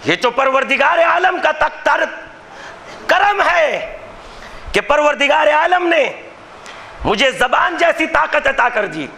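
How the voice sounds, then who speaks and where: A man preaching a majlis, his voice very high and strikingly bright. He declaims slowly and forcefully, shouting many of his phrases in impassioned oratory. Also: sing-song, vocal fry, a little hiss or hum. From Gujarat, India. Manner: impassioned, declaiming, often shouting rhetorical lines. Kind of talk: Muharram majlis sermon oratory. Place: religious gathering hall.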